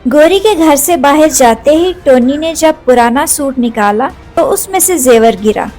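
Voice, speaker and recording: A woman's voice: sits high, animated, a little slow, a little mellow. A woman telling a scripted children's cartoon story as its narrator. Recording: faint music behind.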